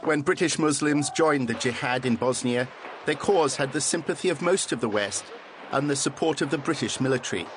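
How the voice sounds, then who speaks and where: A man narrating a documentary and putting probing questions to interviewees in a measured way. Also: medium pitch, noisy background.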